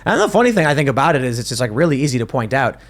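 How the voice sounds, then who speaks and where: A man's voice: medium pitch, rapid-fire. A man hosting a casual podcast panel, talking emphatically and often restarting his sentences. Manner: emphatic, animated, with frequent restarts. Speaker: podcast host.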